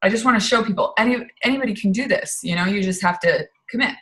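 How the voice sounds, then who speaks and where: Bright tense-voiced woman, early 30s, says dude a lot, faint noise behind. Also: medium pitch, quick pace. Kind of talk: casual group video call chat.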